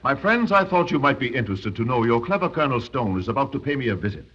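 An actor playing a menacing rebel chieftain in a 1930s radio drama, gloating over captives with mock-courteous formality. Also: male voice, medium pitch, sing-song, quick pace, fairly bright tone, husky. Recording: dull 1930s radio broadcast recording.

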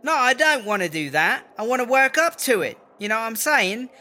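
A woman's voice: on the high side, expressive, brisk, sharp tone. A woman playing a cocky, defensive, foul-mouthed British inmate in a comedy audio drama, with a slangy, blustering, rambling delivery. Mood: irritated.

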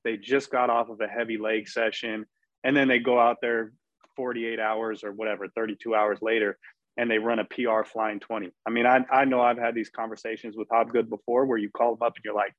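A man's voice: fairly low pitch, little pitch movement, brisk, dry recording. Male strength coach, panel guest. Emphatic and fired up. Muffled, dead-dry recording. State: losing his voice. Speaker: performance coach. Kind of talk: panel discussion. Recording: dull, dry lo-fi audio.

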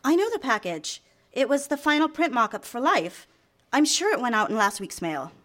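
Casting: actress as eager young career girl secretary, polite, earnest, slightly high voice, radio play. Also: big pitch swings, moderate pace, fairly bright tone. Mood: polite, businesslike. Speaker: radio drama actor. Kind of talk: live radio drama acting with some narration.

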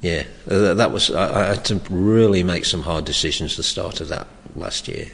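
A man's voice: sits low, normal speed, creaky. An older man, a former soccer coach, talking in an interview. He speaks hesitantly, restarting his sentences. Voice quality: loud voice. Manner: hesitant, with many restarts and chuckles. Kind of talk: reflective oral history interview. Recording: long reverb, faint background noise.

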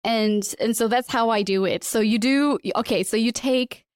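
Chatty woman host, higher voice. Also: normal speed, smooth, no room sound, studio quality. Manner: chatty, many "like" fillers, laughs often. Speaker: podcast host. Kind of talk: casual conversational interview.

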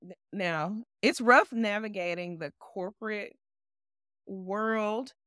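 A woman co-hosting a podcast who talks loudly with a bassy voice, measured and assured. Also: clean tone, dry recording, studio quality. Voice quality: loud with a bassy voice. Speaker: podcast co-host. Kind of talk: casual conversation.

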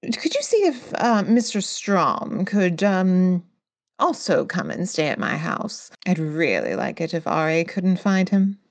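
A woman playing a polite, composed, considerate lady in a tabletop audio drama, with a courteous, measured manner. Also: lowish voice, very animated, exaggerated voice. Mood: polite concern.

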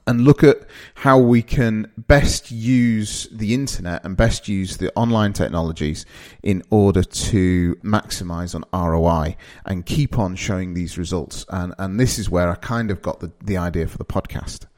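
A man's voice: sits low, normal speed, hardly any pauses, darkish tone. A man with a northern English accent, in his thirties, introducing his podcast in a loose conversational way, with frequent fillers and restarts. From northeast England. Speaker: podcast host.

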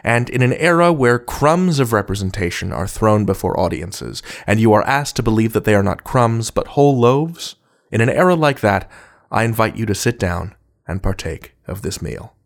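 A man hosting a podcast, reading a scripted introduction in a literary, rhetorical manner with deliberate phrasing. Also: on the low side, expressive, gravelly. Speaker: podcast host.

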